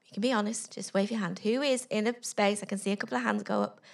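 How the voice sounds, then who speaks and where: A woman's voice: medium pitch, very animated, fast talker. A middle-aged woman giving an inspirational talk on stage to a live audience through a handheld mic, telling a story with frequent laughter. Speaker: conference speaker.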